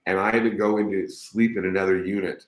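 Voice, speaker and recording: A man's voice: deep voice, steady tone, quick pace, echoey room. A man hosting a live interview, enthusiastic and rambling, often restarting his sentences. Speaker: host.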